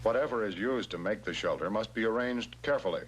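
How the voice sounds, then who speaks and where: An American man giving measured, instructional narration in the scripted style of a 1960s military training film, stressing key words. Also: fairly low pitch. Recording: noisy 1960s film soundtrack with hum.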